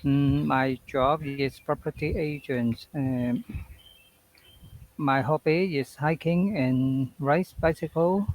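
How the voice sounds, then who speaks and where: Slow-talking man from Hong Kong. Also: fairly high pitch, a little mellow, low-quality recording. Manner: simple, careful learner English. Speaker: student. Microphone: video call.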